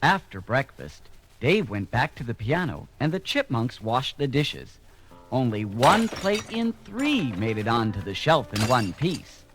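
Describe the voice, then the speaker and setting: A man reads a children's story in a lively, varied voice at a middle pitch, with music playing behind him. The delivery is scripted read-along narration. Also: measured pace.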